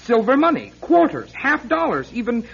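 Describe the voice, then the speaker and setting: A man's voice: very high-pitched, huge pitch swings, normal speed, a bit bright, slightly rough, full character voice. A man playing an earnest, plain-spoken priest's assistant in an old-time radio drama, mildly reproachful in manner. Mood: earnest, amazed. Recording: dull old radio recording.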